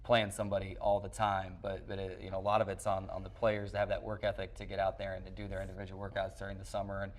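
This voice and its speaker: A man with a fairly low voice hosts a studio sports show. He talks very quickly in a broadcast interviewing style, moving briskly from point to point. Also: monotone, a little hiss or hum.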